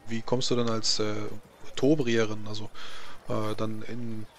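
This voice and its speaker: Even-toned man, halting in-character chat. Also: on the low side, a little hiss or hum. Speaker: tabletop roleplayer in character. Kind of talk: improvised in-character fantasy roleplay chat.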